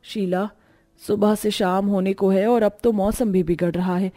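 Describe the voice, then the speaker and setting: A woman voicing a poor young married woman in a cartoon story, gentle, concerned and practical. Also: medium pitch, steady tone, measured pace, soft background music, lightly acted. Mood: worried. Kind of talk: cartoon story character dialogue.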